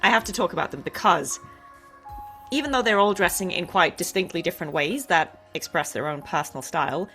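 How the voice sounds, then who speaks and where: A woman's voice: fairly low pitch, expressive, fairly quick, sharp tone, soft background music. A woman hosting a casual interview between voice actors, chatty and playful in the way she talks.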